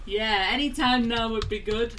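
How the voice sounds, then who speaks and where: A woman's voice: a little high, moderate pace, distant mic, over a noisy crowd. A British woman co-hosting a radio show, teasing and chatting casually. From Britain. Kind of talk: casual banter.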